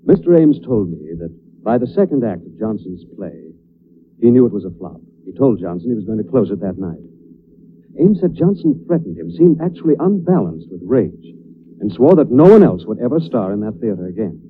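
Confident, animated radio detective. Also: male voice, on the low side, brisk. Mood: assured, explaining. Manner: assured, explanatory, dramatic. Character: confident male detective explaining a solved case. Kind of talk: 1940s radio acting. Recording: noisy, tinny old radio recording.

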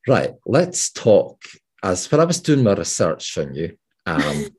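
A man's voice: low voice, big pitch swings, a little slow, a little mellow, breathy edge. A man from the British Isles hosting an interview, talking hesitantly with repeated words and many "yeah"s and "you know"s. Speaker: podcast host. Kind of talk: casual interview chat.

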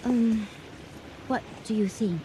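Mellow-voiced, earnest young romantic. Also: female voice, fairly high pitch. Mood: flustered. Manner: flustered, earnest, halting. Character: shy, bookish, romantically smitten young woman. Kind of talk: radio drama acting. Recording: noisy 1980 radio drama recording.

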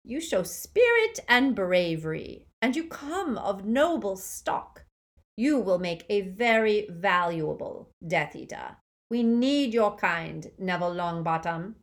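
A woman reading aloud and voicing a cold, gloating male dark wizard with a soft, silky, hissing menace. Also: medium pitch, sing-song, slow, pitched above the performer's own voice. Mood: silky, mocking.

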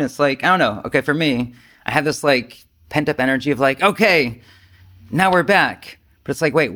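A man's voice: on the high side, fairly bright tone. A man speaking as a Zen teacher in an informal dharma talk, with a self-deprecating, rambling manner.

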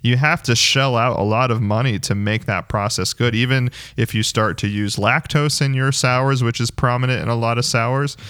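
A man with a clear, smooth, mid-pitched voice in a very dry-sounding space. He hosts in a casual, informal way, agreeable and encouraging toward whoever he is talking with. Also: fairly even intonation, moderate pace, few pauses, a bit bright, dry recording. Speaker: co-host. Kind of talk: casual chat, hosting.

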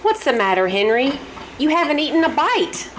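A woman's voice: high voice, sing-song, moderate pace, soft background music. A woman playing a concerned, genteel wife in old-time radio style, exclaiming and stammering slightly. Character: solicitous, genteel wife, easily shocked.

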